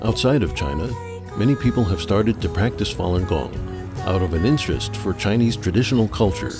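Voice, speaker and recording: Deep-voiced male documentary narrator. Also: lively intonation, fairly quick. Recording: narration over background music.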